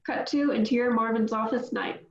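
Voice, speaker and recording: A woman's voice: on the high side, fairly even intonation, moderate pace, echoey room, highs cut off. A woman reading the stage directions at a script table read in a plain, matter-of-fact way.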